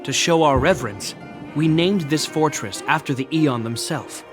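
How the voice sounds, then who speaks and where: A man's voice: fairly high pitch, normal speed, over music, close to an everyday voice. A man voicing a dutiful, formal guard captain in a fantasy video game. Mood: solemn, proud. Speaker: city guard officer character. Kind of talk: dramatic game voice acting.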